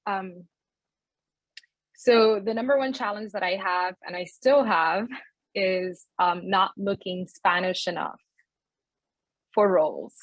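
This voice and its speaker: A woman's voice: medium pitch, takes it slowly, long pauses, dry recording, rough recording. Candid woman actor, dry close sound. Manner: candid, frank, with light self-aware laughs. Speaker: actor guest. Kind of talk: casual interview answers. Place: home room. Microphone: video call.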